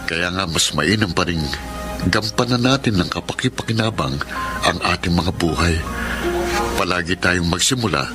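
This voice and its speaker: An actor playing a kind, dutiful, devout son in a Filipino radio drama, speaking politely and earnestly. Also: male voice, sits low, normal speed, music in the background, lightly acted. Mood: earnest, forgiving.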